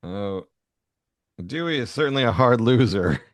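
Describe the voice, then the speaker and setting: A man's voice: medium pitch, expressive, measured pace, no room sound, studio quality. A man co-hosting a podcast in an easygoing way, chatting casually and reading comic lines aloud. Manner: easygoing, chuckles often. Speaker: podcast co-host.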